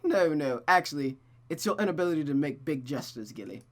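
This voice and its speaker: A reader voicing a proud young noblewoman musician, sharp-tongued and formally polite, in a visual novel read aloud. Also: medium pitch, lively intonation, a little fast, natural voice. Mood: teasing.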